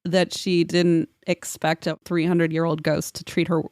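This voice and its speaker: A woman's voice: fairly low pitch, moderate pace, no echo. Casual, joking woman on a dry mic. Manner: animated storytelling with acted-out quotes. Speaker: podcast panelist. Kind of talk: casual comic chat among friends.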